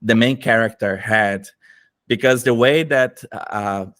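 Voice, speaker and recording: A middle-aged man from Brazil with a Brazilian Portuguese accent, talking eagerly as a podcast guest and often pausing to search for words. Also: on the low side, a bit bright, no echo, clean studio sound. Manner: enthusiastic, searching for words, frequent fillers. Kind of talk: casual interview conversation.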